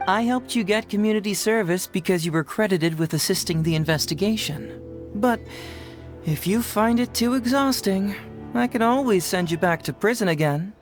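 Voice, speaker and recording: A woman's voice: mid-range voice, animated, normal speed, natural voice. A woman voicing a breezy young male detective in a video game, playful, smug and dryly teasing. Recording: music behind the voice.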